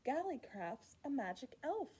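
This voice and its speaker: A woman hosting a children's storytime, reading a rhyming picture book aloud in an expressive, playful way with asides to young listeners. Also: high voice, unhurried. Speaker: children's library storytime host.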